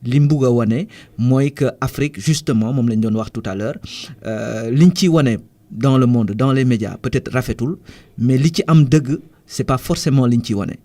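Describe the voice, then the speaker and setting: A Senegalese man, a musician guest in a radio studio interview, explaining his ideas thoughtfully with frequent pauses and restarts. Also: medium pitch, warm tone.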